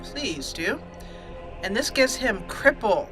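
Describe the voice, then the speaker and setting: A woman's voice: medium pitch, sing-song, takes it slowly, over music. A woman giving casual let's play commentary, chatty and giggly, explaining things step by step as she plays. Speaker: gaming channel host.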